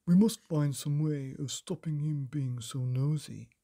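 A man's voice: fairly high pitch, unhurried, dark tone. A man voicing a scheming old village carpenter in a storybook, speaking emphatically. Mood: indignant.

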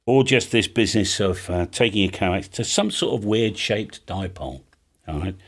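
A man's voice: lowish voice, sing-song, moderate pace. A middle-aged man giving an informal, chatty explanation, often checking in with the listener as he goes. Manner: chatty, casual, often checks in with "all right?". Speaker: host of a radio hobby channel. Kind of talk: informal explainer, talking through a hand-drawn diagram. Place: home music studio room, indoors.

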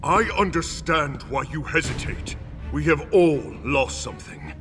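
Big proud thunder god, bright forceful voice, slow and grand, some reverb and music. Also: male voice, on the high side. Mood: resolute. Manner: grand, declamatory, archaic. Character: proud booming male thunder god warrior. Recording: game cutscene, reverberant, music.

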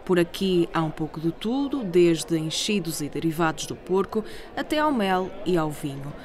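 Portuguese accent woman reporter reading a news script, lowish voice. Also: sing-song, normal speed, a crowd in the background. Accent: European Portuguese.

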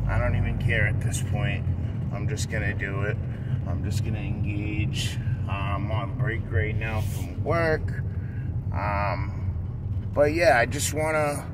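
A young man speaks in a fairly low voice at a very slow pace, casual and laid-back like an improvised vlog. He pauses often to find his words. The phone sounds held away from him, with clear car noise around his voice. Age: young adult. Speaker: YouTube vlogger. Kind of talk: casual off-the-cuff vlog talk. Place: inside a car. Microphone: phone held at arm's length. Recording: distant phone mic, loud car noise.

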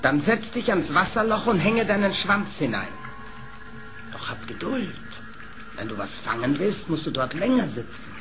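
A wheedling trickster fox in a storybook radio play. The voice is fairly high and swoops around, with music playing behind it. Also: male voice, very animated, moderate pace. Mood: sly. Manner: cunning, coaxing, theatrical. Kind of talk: German fairy-tale radio play acting.

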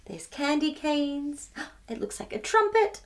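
Children's storytime host: woman, 30s, very lively intonation, slow pace, addressing young kids with expressive sing-song delivery. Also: sits high. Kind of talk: read-aloud storytelling and chatty children's presenting. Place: small furnished living room, indoors.

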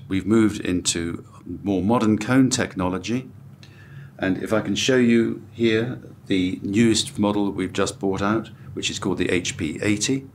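A British man in his sixties speaks in a deep voice. He explains products in a measured, informative way, like a director showing his range. Faint background noise sits behind him. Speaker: company director presenting products. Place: hotel room at an audio show.

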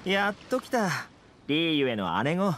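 A man's voice: fairly high pitch, big pitch swings, a little slow, fairly bright tone, slight background noise. An actor voicing a friendly, easygoing young male trader and fixer in an anime game, with a casual, affable manner. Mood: friendly, playful. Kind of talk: anime video game voice acting.

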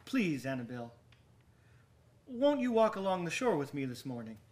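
A man acting a haunted, sleepless, volatile gentleman in a radio drama, theatrical and agitated with old-fashioned diction. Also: a little high, sing-song, moderate pace. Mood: pleading, defensive.

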